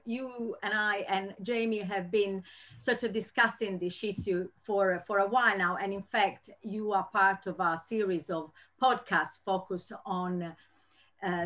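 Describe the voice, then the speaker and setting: A woman's voice: medium pitch, fairly bright tone. A woman moderating an online panel discussion over a video call, asking long, rambling questions with plenty of fillers.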